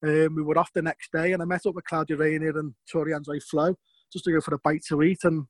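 A man's voice: a little high, flat delivery, fast, no echo. Scouse man telling stories, dry sound. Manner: rambling, repeats words, chuckles between points. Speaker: former footballer. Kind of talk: interview storytelling.

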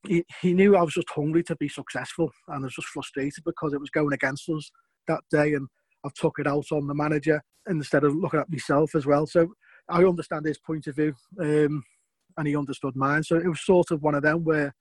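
A man's voice: on the high side, steady tone, a little fast, darkish tone, no room sound. A middle-aged former footballer with a Scouse accent, recounting his career in a rambling way, often repeating words. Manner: rambling, repeats words, chuckles between points. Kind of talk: interview storytelling.

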